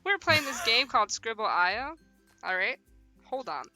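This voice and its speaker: Female game streamer, high and very bright voice, animated slangy chatter and read-aloud text, music underneath. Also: normal speed. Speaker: host of a visual novel livestream. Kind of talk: casual livestream chat and reading game text aloud.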